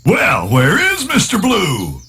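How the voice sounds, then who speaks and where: A man voicing a hearty, teasing neighborhood baker in a storybook, loud and exclaiming, with a husky, strained voice. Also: on the high side, wildly swooping pitch, slow, pitched above the performer's own voice, exaggerated voice. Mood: hearty, curious.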